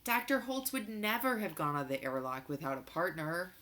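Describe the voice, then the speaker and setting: An insistent, precocious boy from a children's novel, played by a woman reader. The voice is forceful and very bright, and he argues his case stubbornly. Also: on the low side, brisk, lightly acted. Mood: protesting. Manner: insistent, argumentative. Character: young boy Dashiell, precocious, stubborn moon-base kid.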